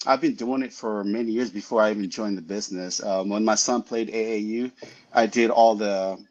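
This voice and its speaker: A man's voice: fairly low pitch, steady tone, normal speed, dull audio. A man chatting modestly and a bit hesitantly, with fillers. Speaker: guest, tech-savvy team member. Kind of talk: casual livestream chat.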